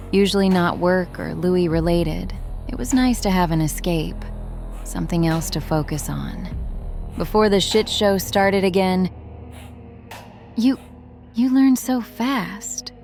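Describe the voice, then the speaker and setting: A woman playing a haunted, protective former street criminal in a drama, speaking in an earnest, halting, confessional way. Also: on the low side, gravelly, darkish tone, soft background music. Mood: wistful, then tender, resolute. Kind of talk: dramatic audio drama acting.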